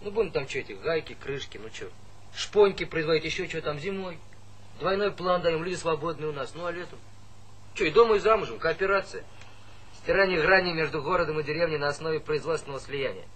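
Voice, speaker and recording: Eager husky young villager. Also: male voice, on the high side, fast talker, fairly bright tone, low-quality recording. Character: eager, cheeky young village man full of schemes. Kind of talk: Soviet radio play acting.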